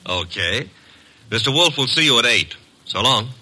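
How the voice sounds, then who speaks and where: An actor playing a breezy, slangy detective's sidekick who also narrates, in an old radio drama. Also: male voice, medium pitch, animated, normal speed, noisy background, natural voice. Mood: businesslike, wry. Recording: vintage radio broadcast.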